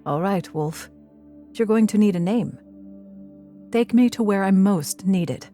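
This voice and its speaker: A woman's voice: medium pitch, a little slow, dark tone, soft background music, lightly acted. A woman playing a resolute elven swordswoman in a fantasy audio story, plainspoken and matter-of-fact. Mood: resolved, practical.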